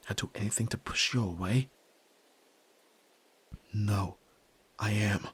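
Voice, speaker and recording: A young man playing a guarded tsundere nerd turned yakuza in an ASMR roleplay, halting and stammering with breathy sighs, tender underneath. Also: lowish voice, exaggerated voice. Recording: music behind. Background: background music.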